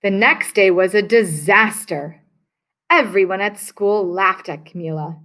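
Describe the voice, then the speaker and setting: A woman teacher reads a children's storybook aloud at an unhurried pace, giving each phrase expression. Her voice sits at an ordinary middle pitch. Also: a bit bright.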